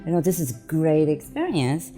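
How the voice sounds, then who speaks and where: A woman with a Japanese accent, whose first language is Japanese, speaking halting English as a guest on an online call. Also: sits low, very animated, dark tone, soft background music. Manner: halting non-native English, short agreeing replies. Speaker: interview guest, technical editor and translator.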